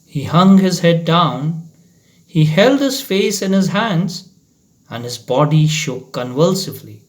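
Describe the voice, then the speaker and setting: A man reads a short story aloud with deliberate drama. His pace is slow, and his somewhat high voice moves through a very lively range of pitch. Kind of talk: expressive short story reading.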